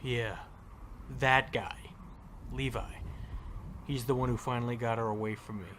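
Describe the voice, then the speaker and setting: A man's voice: mid-range voice, fairly even intonation, normal speed, fairly bright tone, natural voice. Man acting a bitter, weary apocalypse survivor, faint noise. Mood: bitter regret. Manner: sarcastic, slangy, weary. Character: bitter, regretful male zombie-apocalypse survivor, gruff but caring. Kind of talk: audio drama acting, casual profane dialogue.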